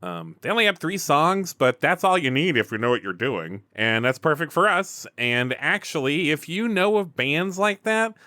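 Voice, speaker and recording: Dude running a ttrpg podcast, super expressive, bright voice, dry close sound, chatty. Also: male voice, a little high, normal speed, few pauses, no room sound. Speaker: host and game narrator. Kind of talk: casual improvised game narration and chat.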